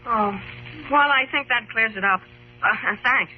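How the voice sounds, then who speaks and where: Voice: medium pitch, unhurried, soft background music, lightly acted. A performer playing a puzzled, eager young boy with a hesitant, inquisitive manner in a radio drama. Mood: curious. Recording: muffled old radio broadcast with hiss.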